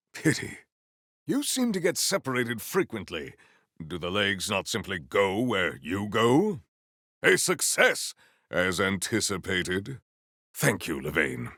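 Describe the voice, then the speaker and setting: Proud noble game warrior, super dramatic up and down delivery, slow n formal, bit of gravel. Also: male voice, fairly low pitch, huge pitch swings, bright, forceful tone, dry recording, studio quality, full character voice. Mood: courteous, measured. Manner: formal, archaic, theatrical diction. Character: proud, formal, honor-bound male warrior, grandiose and battle-hungry. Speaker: video game voice actor. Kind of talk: theatrical video game character voice lines.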